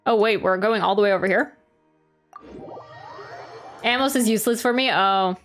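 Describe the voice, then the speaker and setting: A woman's voice: medium pitch, normal speed. A woman live-streaming a game, chatting casually, thinking aloud and answering her viewers. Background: faint game music.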